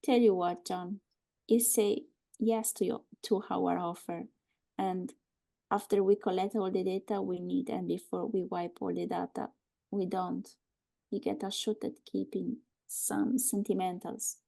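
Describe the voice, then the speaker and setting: An actress playing a smug government agent posing as a nurse, cajoling with deliberate, condescending, mock-soothing calm in a screenplay read. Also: female voice, mid-range voice, gravelly.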